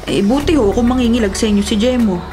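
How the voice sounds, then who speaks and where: A woman acts out an anxious, fretting wife in a radio drama. Her voice is on the high side and insistent, with clear music underneath. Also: quick pace, close to an everyday voice. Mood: pleading, anxious. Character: anxious, fretful wife worried for her husband. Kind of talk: Tagalog radio drama acting. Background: dramatic background music.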